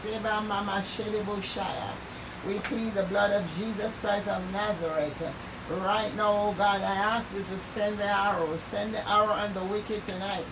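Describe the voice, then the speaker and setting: A woman leading fervent prayer, raspy-voiced, with intense repeated declarations and speaking in tongues. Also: medium pitch, steady tone, normal speed. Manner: intense, repetitive prayer, breaks off to check audio. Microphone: phone or earpiece mic. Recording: distant mic with clear background noise.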